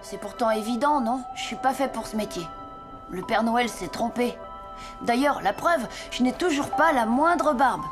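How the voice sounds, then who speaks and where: A woman plays an earnest young cartoon boy full of self-doubt. The voice is high and boyish, with music playing underneath. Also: moderate pace, close to an everyday voice. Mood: exasperated, dejected. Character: earnest, self-doubting orphan boy, apprentice Father Christmas. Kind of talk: French children's cartoon dubbing. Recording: music behind the voice.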